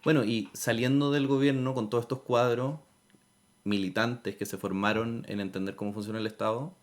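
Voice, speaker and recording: A man's voice: mid-range voice, steady tone, moderate pace. A man with a Chilean accent, hosting a casual, conversational interview.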